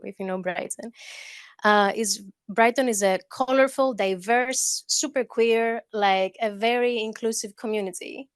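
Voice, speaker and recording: A woman's voice: medium pitch, clean tone, no room sound. A woman from Greece with a Greek accent, giving a conversational conference talk at a mic, with fillers and restarts. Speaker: conference speaker. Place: conference hall. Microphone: handheld or lectern mic.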